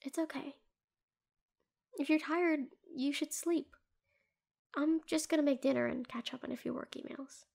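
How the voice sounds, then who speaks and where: High-pitched protective female doctor, played by a woman. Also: normal speed, creaky, long pauses. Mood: tender, soothing. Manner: earnest, soothing, hushed at times, fierce when protective. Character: protective, tender female doctor comforting an injured ex-lover. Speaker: voice actor in an audio roleplay. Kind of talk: scripted intimate audio roleplay addressed to the listener.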